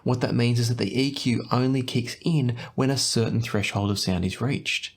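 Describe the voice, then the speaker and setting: A man's voice: mid-range voice, steady tone, normal speed, gravelly. A man presenting an explanatory product review as the host of an audio channel.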